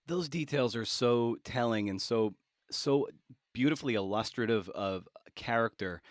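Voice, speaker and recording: A man's voice: medium pitch, unhurried, no room sound, studio quality. A man hosting a podcast interview in a casual, conversational way, feeling his way toward each phrase as he rambles.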